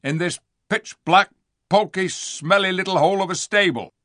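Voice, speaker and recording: A man voicing a surly, suspicious dwarf in a storybook reading, gruff and blustering as he grumbles in colloquial speech. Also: high voice, normal speed, pushed and bright, no echo, clean studio sound, pitched above the performer's own voice. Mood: scornful. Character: surly, defiant, suspicious dwarf, scornful and rude.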